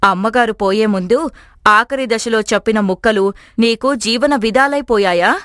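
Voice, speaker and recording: A woman voicing an exasperated, sarcastic middle-aged mother in an animated moral story. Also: fairly high pitch, moderate pace, no room sound, studio quality, natural voice.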